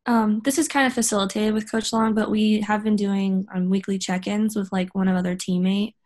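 A woman's voice: mid-range voice, little pitch movement, moderate pace, a little mellow. An American woman in her early twenties, giving casual explanatory answers in an interview over a video call. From South Carolina, USA. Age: early 20s. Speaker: college volleyball player, interview guest. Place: indoor room on a video call.

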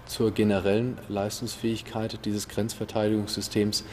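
A man's voice: on the low side, level tone, moderate pace, a little mellow, slight background noise. A man giving an explanatory interview statement as a project expert.

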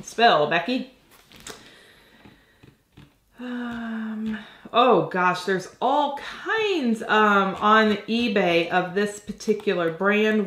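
Slow, chatty woman in an echoey room. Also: medium pitch, a bit bright. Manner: chatty, thinking aloud while handling items. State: slightly nasal from a cold. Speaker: reseller vlogger. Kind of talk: casual unboxing chat. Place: home office, indoors.

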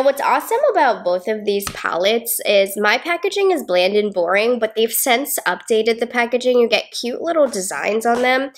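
Nasal, congested young woman chatting. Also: mid-range voice. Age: young adult. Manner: chatty, upbeat, laughs often while working. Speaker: beauty vlogger. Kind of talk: casual makeup tutorial chat. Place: small home filming room indoors.

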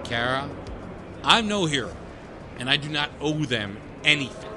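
Brash, high-pitched cynical reluctant hero. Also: male voice, sing-song, normal speed, noisy background. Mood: exasperated. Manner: sarcastic, blunt, swearing. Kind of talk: horror audio drama acting.

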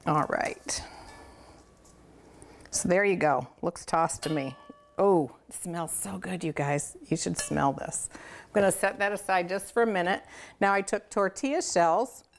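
A woman's voice: lowish voice, a little slow, a little hiss or hum. A middle-aged woman hosting a casual cooking demonstration, chatty, folksy and enthusiastic as she talks straight to viewers. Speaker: cooking show host. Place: home kitchen, indoors.

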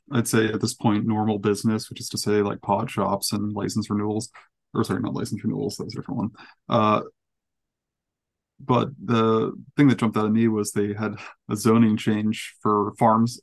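A man's voice: fairly low pitch, little pitch movement, moderate pace, creaky. A man in his twenties casually recapping a meeting as a panelist, tentative and correcting himself as he goes. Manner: tentative, hedging, self-correcting. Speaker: panelist reporting on council meetings. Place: indoor room on video call. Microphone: headset on video call.

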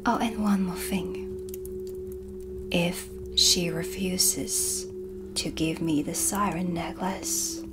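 A woman playing a brooding, blunt dark witch in an ASMR roleplay, speaking in a breathy, deliberate and ominous way with pauses. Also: on the low side, steady tone. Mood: quietly threatening. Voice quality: breathy whisper. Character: dark witch, brooding, blunt, mysterious tea-reading hostess. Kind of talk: soft-spoken ASMR cinematic roleplay. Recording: background music under close whisper. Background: ambient music.

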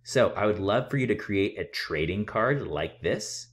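A man in his thirties reading a picture book aloud and explaining things to children in a theatrical, expressive way. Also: lowish voice, a little slow. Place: small room at home, indoors.

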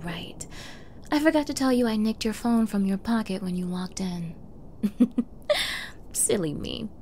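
A woman's voice: mid-range voice, darkish tone, a little hiss or hum. A woman playing a sweetly menacing, possessive young girlfriend in an intimate ASMR roleplay, coy and cooing, often dropping into whispers. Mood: sly, teasing. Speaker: roleplay audio performer.

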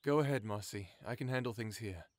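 A man's voice: on the low side, a little fast, no echo, close to an everyday voice. An actor playing a protective male settlement co-leader in a full-cast audiobook, speaking steadily and resolutely.

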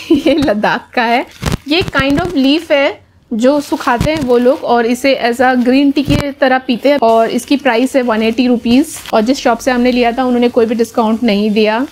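A woman's voice: high-pitched, low-quality recording. A woman, chattily presenting a casual shopping haul and explaining to viewers. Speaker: travel vlogger. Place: bedroom at home, indoors.

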